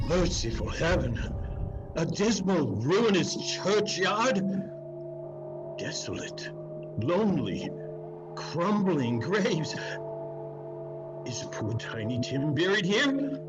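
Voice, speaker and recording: Fearful, remorseful old miser played by a man, theatrical and higher-pitched, wide swings, for a Christmas story reading. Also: very animated, dull audio, heavily acted. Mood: anguished, pleading. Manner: theatrical, halting, pleading. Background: music.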